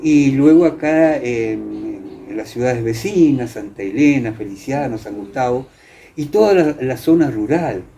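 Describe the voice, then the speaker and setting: An older man with an Argentine accent from Entre Ríos, reminiscing in a conversational interview, haltingly searching for words and repeating himself. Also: medium pitch, expressive, mellow, lo-fi sound, soft background music. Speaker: interviewee, former radio theatre actor.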